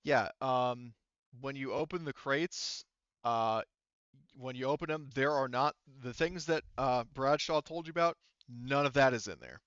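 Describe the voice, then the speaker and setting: A man speaking casually and haltingly as the game master of a tabletop roleplaying game. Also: mid-range voice, a bit bright, smooth, no echo, muffled recording. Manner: halting, informal.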